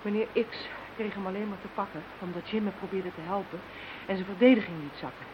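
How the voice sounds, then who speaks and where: A woman's voice: medium pitch, fairly quick, lightly acted. An actress playing a determined, hunted woman in a radio thriller, speaking emphatically and dramatically. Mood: resolute. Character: defiant, determined woman hunted by a telepathic enemy. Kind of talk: Dutch radio play acting. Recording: dull, hissy radio play recording.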